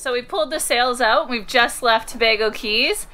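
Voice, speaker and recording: A woman's voice: fairly high pitch, moderate pace, sharp tone, soft background music. A young woman cheerfully explaining the sailing trip to a camera held at arm's length on a sailboat. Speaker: sailing vlogger. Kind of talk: casual chat to camera. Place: aboard a sailboat outdoors. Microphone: handheld camera at arm's length.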